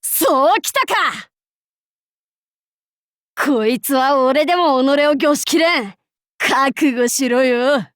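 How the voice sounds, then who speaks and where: Brash young feudal warlord, high voice, unhurried pace, rough boastful old-fashioned speech, fiercely loyal self-styled fool, anime game style. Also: female voice, expressive, fairly bright tone, dry recording, studio quality, full character voice. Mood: confident, eager. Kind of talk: anime game character voice acting.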